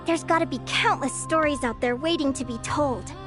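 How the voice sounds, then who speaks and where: A woman's voice: sits high, normal speed, close to an everyday voice. A woman voicing a young, curious bird fae explorer in a video game, talking in an eager, wondering way. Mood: friendly. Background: game music.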